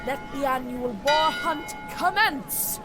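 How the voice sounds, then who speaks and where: A woman's voice: high-pitched, takes it slowly, over loud music. A woman in an audio drama playing a castle herald, calling out long, ringing proclamations. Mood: excited proclaiming. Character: loud town crier proclaiming to a castle.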